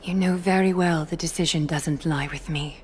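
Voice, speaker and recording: A woman playing a composed, authoritative leader in a fantasy game, speaking in a measured, formal and deliberate way. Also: low voice, fairly even intonation, a little mellow, a little hiss or hum, lightly acted. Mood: stern. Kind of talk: dramatic fantasy game dialogue. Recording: background music behind the voice.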